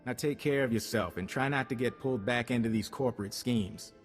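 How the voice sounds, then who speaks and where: A man's voice: medium pitch, a little fast, faint music behind, lightly acted. Wry, friendly male agent actor, reverberant. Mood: friendly, relieved. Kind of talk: video game voice acting.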